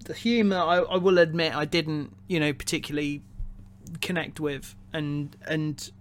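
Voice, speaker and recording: A man co-hosting a podcast, talking casually about films in a halting way, often repeating words as he goes. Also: a little high, fairly even intonation, a little hiss or hum. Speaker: podcast co-host reviewing a film. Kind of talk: casual opinionated discussion.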